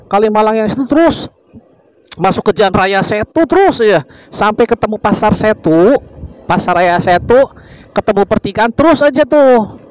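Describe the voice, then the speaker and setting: A man's voice: high-pitched, animated, normal speed, a little hiss or hum, rough recording. A man from Indonesia narrating a motorbike ride through village roads in casual, chatty fashion, constantly addressing his viewers and wondering aloud about the way ahead. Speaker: motovlogger.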